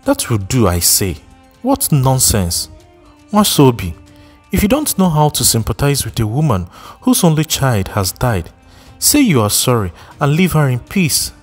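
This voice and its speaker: A male reader voices a protective, commanding older woman in a spoken story. She is firm and direct, her pitch lifted high above the reader's usual voice. Faint music plays beneath. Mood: scolding. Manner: stern, commanding, then coaxing.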